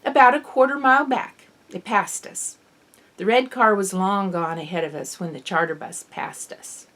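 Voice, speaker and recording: A woman's voice: mid-range voice, moderate pace, a bit bright, close to an everyday voice. A woman voicing a defensive, dismissive female school bus driver with a curt, emphatic delivery in an audiobook. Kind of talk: acted dialogue in an audiobook.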